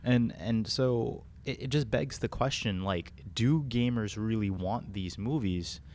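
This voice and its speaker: A man co-hosting a casual podcast, speaking haltingly and repeating words as he starts. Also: on the low side, darkish tone. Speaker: podcast co-host. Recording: noticeable background room noise.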